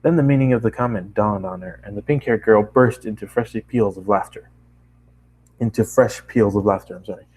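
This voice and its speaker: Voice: mid-range voice, a little fast, darkish tone, breathy edge, poor audio. A narrator reading fan fiction aloud in a storybook style, with casual asides.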